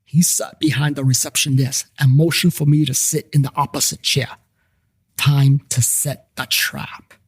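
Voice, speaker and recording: A man's voice: medium pitch, little pitch movement, unhurried, slightly rough, no room sound. A man narrating a scripted first-person story as the narrator of a fiction podcast.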